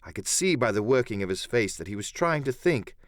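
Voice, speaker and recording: A man's voice: medium pitch, big pitch swings, a little fast, no room sound, studio quality. A man narrating an adventure audiobook in the first person, with theatrical, literary storytelling.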